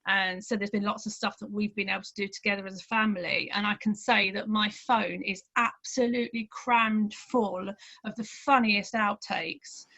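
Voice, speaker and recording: A woman's voice: mid-range voice, steady tone, normal speed, few pauses, pushed and bright, dull audio. A middle-aged woman chatting casually and effusively as a guest. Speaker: podcast guest, amateur theatre committee member. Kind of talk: casual chat.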